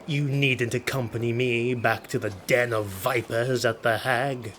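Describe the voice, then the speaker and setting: Proud, cruel dark elf highborn for a fantasy audiobook, played by a man: sardonic, muttering, unhurried. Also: fairly even intonation, slight background noise, lightly acted. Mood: musing. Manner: sardonic, slow muttering delivery.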